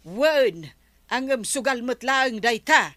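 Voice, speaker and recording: A woman's voice: a little high, animated, slow, fairly bright tone, no room sound. A woman playing a stubborn village councilor in a comedy radio drama, arguing her point insistently. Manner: argumentative, insistent.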